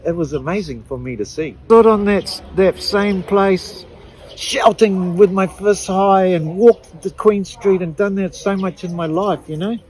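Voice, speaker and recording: A middle-aged woman with a New Zealand accent, giving an emotional personal testimony in an earnest, halting way with frequent restarts. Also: medium pitch, a little mellow, slight background noise, rough recording. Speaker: activist interviewee.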